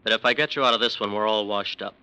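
An actor playing a conflicted, frightened defense lawyer in an old radio crime drama, earnest and halting. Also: male voice, on the low side, bright, forceful tone, clean tone, poor audio. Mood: uneasy, resolute. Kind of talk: 1940s-50s radio crime drama acting.

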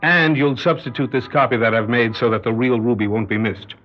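A husky male criminal mastermind, played by a radio actor, shrewd and controlling. He speaks in measured, quietly menacing tones, on a dull, lo-fi old radio drama recording. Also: medium pitch, fairly even intonation, lightly acted. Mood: businesslike, instructing. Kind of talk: classic radio drama acting.

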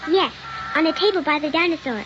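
A woman's voice: sits high, animated, a little fast, a bit bright. A woman playing a sweet, pleading little schoolgirl in an old-time radio drama. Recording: muffled old radio recording with hiss.

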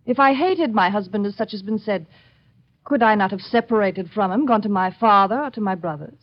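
A woman's voice: medium pitch, brisk, natural voice. A woman playing a proud, defiant accused widow in an old radio drama, speaking in formal, haughty rhetoric. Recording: dull, lo-fi old radio recording.

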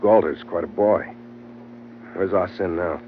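An actor playing a tough, wry, hard-boiled sea captain in an old radio drama, terse and laconic. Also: male voice, low-pitched, unhurried, slight background noise. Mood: subdued. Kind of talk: old-time radio drama acting and narration. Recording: muffled old radio, music behind.

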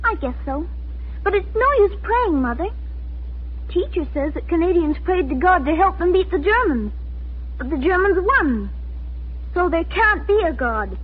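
A woman's voice: very high voice, animated, moderate pace. A woman playing an earnest, impressionable eleven-year-old girl in a 1940s radio drama, with a childlike, emotional delivery. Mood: earnest doubt. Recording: scratchy, tinny 1940s radio recording.

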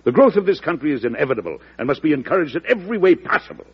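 An actor playing a principled older American president in a radio drama, speaking in a formal, deliberate, oratorical way. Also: male voice, on the high side, sing-song, husky, heavily acted. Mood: earnest, resolute. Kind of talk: 1940s radio drama acting. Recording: dull vintage radio recording.

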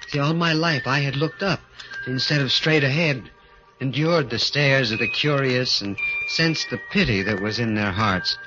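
A man's voice: medium pitch, close to an everyday voice. A man plays a timid, bitter little dwarf from an old radio drama, telling his story with a mid-range voice and stammering whenever he begs. Music plays under a dull, muffled recording. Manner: halting, stammering, pleading in dialogue. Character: timid, bitter adult male dwarf performer. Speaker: narrator and lead character. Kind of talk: 1940s radio drama acting.

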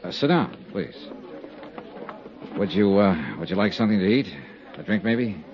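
Man playing a deep-voiced hard-boiled American radio investigator. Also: expressive, normal speed, background noise, close to an everyday voice. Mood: calm, probing. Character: tough, steady male freelance insurance investigator. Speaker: lead actor and narrator. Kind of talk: 1950s radio drama acting. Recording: dull vintage 1950s radio recording.

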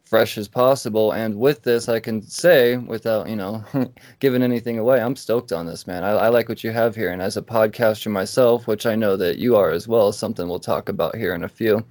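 A man's voice: fairly low pitch, darkish tone, no room sound. A man hosting a podcast interview, talking casually with frequent restarts and an upbeat tone. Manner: halting, restarts often, upbeat. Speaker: podcast host.

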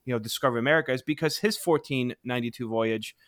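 A man's voice: medium pitch, quick pace, a bit bright, dry recording, studio quality. A middle-aged man co-hosting a casual podcast, rambling with lots of fillers and restarts. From Poland. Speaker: podcast co-host. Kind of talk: casual chat.

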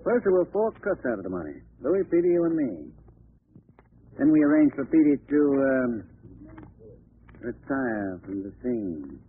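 An actor playing a shifty, scheming crooked lawyer, sly and insinuating, in 1940s radio drama style. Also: male voice, medium pitch, big pitch swings, unhurried, long pauses. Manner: sly, insinuating, hesitant under pressure. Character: shifty, scheming male crooked lawyer, smooth until cornered. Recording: tinny old radio with faint hiss.

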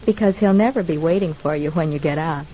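A woman's voice: sits low, quick pace, mellow, slight background noise, lightly acted, rough recording. An actress playing a teasing, slangy woman prisoner who mocks her cellmate in an old-time radio drama. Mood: sardonic, probing.

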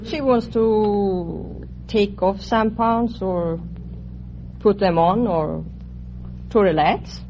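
A woman from Europe with a European accent, speaking haltingly as a contestant on a vintage radio quiz show. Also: medium pitch, darkish tone. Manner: halting, simple hesitant phrasing. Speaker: quiz show contestant, steam bath operator. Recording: muffled, noisy vintage radio with audience.

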